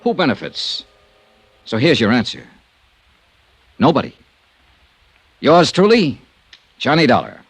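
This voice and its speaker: A hard-boiled American private investigator from 1950s radio detective drama. He speaks crisply and deliberately, swinging his pitch widely for wry, cutting emphasis. It sounds like a vintage broadcast recording. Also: sing-song, soft background music, exaggerated voice. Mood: dry, wry. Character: shrewd, hard-boiled male insurance investigator, coolly accusing.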